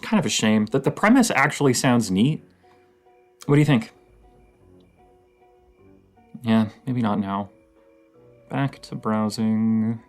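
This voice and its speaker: A man playing a dorky, playful partner in an intimate audio roleplay, rambling, giggly and teasing, with a slightly creaky voice. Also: mid-range voice, expressive, measured pace, long pauses, faint music behind, lightly acted. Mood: nerdy, casual. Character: dorky, playful young romantic partner, horror film nerd.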